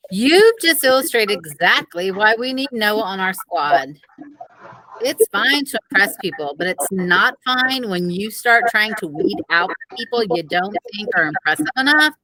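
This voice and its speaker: A woman's voice: medium pitch, animated, sharp tone, no echo, poor audio. Woman playing a firm, fair-minded coach. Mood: disapproving. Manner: firm, measured, admonishing. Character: firm, fair-minded female science teacher and cheerleading coach. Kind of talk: children's audiobook character reading.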